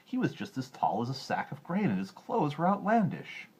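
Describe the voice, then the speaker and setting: A man reading a fairy tale aloud as an expressive storyteller. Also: a little high, moderate pace, slightly rough.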